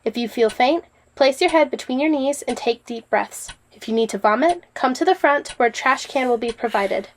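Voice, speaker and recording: Woman playing a stern high-voiced exam proctor. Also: animated, moderate pace, few pauses, a little hiss or hum. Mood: brisk, imperious. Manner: clipped, formal, commanding. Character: stern, coldly brisk female exam proctor. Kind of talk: audio drama acting.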